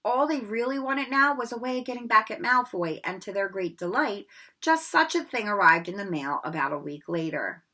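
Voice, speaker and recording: A woman reading a children's novel aloud in an expressive storybook manner, with occasional slips and rereads. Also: medium pitch, normal speed. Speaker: read-aloud narrator.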